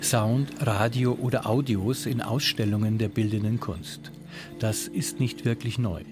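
A man's voice: fairly low pitch, measured pace, music in the background. A man reading a scripted radio feature as an arts reporter.

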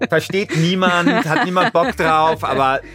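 A man's voice: on the high side, a little slow, bright, forceful tone, soft background music. A man telling a story casually as a co-host, colloquial and blunt. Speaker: co-host pitching a crime audio drama story.

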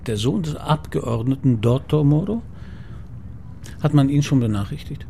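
An actor playing a persistent, politely ironic police commissioner in a naturalistic radio crime drama. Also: male voice, medium pitch, lively intonation, normal speed, gravelly, mellow, slight background noise. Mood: polite, probing. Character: male police commissioner, persistent, dryly sardonic.

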